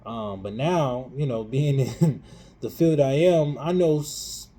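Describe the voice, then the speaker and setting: A man co-hosting a casual chat, animated and playful, dropping slang as he hypes up his co-host. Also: mid-range voice, slow. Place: living in Europe.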